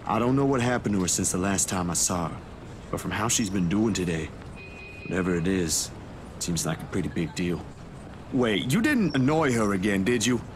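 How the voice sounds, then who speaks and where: Lowish young male voice, acted, earnest, stubbornly loyal, visual novel game character, noticeable background noise. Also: animated, normal speed. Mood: concerned, insistent.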